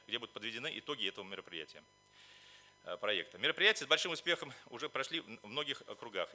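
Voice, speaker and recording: A man's voice: mid-range voice, bright, forceful tone. A man delivering a formal, official prepared speech as a conference speaker. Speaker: conference speaker, representative of the organizers. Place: conference hall at a library.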